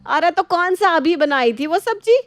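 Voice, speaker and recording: A woman's voice: very high voice, expressive, normal speed, no room sound, faint music behind, voice pushed up. A woman voicing an older, shrewd mother-in-law in a family story, with a scolding, commanding manner.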